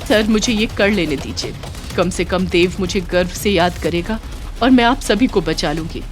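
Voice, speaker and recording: A woman's voice: on the low side, expressive, normal speed, faint music behind, natural voice. A woman voicing a brave, devoted young soldier wife in an earnest, heartfelt audio story melodrama. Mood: resolute, devoted.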